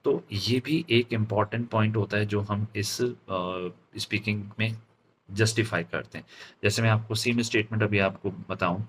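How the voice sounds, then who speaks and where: A man with an Indian accent and a fairly low voice teaches like a public speaking coach. He moves in deliberate, halting phrases and often checks in with short tag questions. There is faint noise behind him. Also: fairly even intonation. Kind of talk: instructional lecture on voice modulation.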